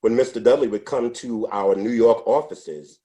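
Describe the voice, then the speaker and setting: A man in his sixties, a podcast interview guest, telling his life story in an unhurried, reflective way with frequent restarts. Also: mid-range voice, warm tone. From United States. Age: 60s. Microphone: podcast microphone.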